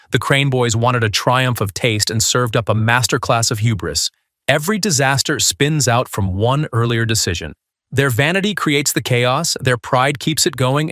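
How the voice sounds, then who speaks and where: A man's voice: mid-range voice, a bit bright, dry recording. Man narrating a scripted countdown, dry recording. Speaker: video narrator and countdown host.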